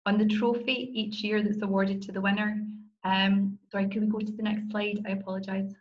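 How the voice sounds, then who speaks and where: A woman's voice: mid-range voice, level tone, fairly quick, muffled recording. A middle-aged Scottish woman, a law professor and moot court coach, giving an enthusiastic, personal talk on a conference panel over a video call. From Scotland.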